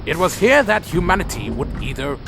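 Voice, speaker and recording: A man's voice: on the high side, sing-song, a little fast, creaky, music in the background. A man playing a proud, brooding vampire clan leader in an audio drama, speaking in a solemn, imperious, theatrical way. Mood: grave, solemn.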